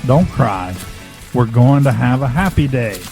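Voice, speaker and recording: An actor playing a weary, embittered refugee father in a post-apocalyptic audio drama, with a gravelly voice. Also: medium pitch, lively intonation, measured pace, music in the background. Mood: weary, tender.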